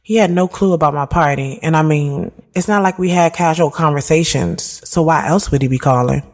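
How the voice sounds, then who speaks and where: A woman in her thirties playing a sharp-tongued, moody woman who tells her own story in a candid, slangy audio drama. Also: sits low, fairly quick. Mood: candid narrating. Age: 30s. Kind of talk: first-person audio drama narration and dialogue. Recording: music bed behind the voice.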